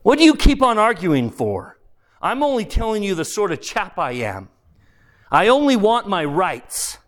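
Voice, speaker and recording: A man's voice: high voice, wildly swooping pitch, unhurried, voice pushed up, full character voice. A man voicing a sulky, indignant working-class ghost. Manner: blustering, aggrieved, repetitive, slangy. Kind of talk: dramatised audiobook character voice.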